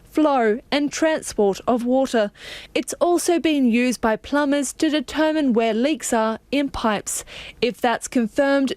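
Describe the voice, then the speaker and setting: A woman reporter reading a scripted news story in a clear, even manner. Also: sits high, no room sound. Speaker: radio reporter.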